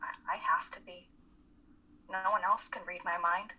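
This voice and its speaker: A woman playing an anxious, self-critical college student in a play reading, husky and hesitant. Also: lowish voice, steady tone, measured pace, pushed and bright, lo-fi sound, exaggerated voice. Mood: anguished confiding. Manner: hesitant, self-conscious confiding. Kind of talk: dramatic reading of a stage play.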